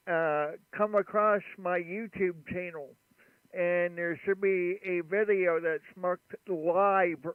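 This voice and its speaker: A man running a ham radio chat and livestream, talking in a relaxed, rambling way with many fillers. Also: high voice, clear, resonant tone, dry recording. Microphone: studio mic at his station. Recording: radio audio, narrow band.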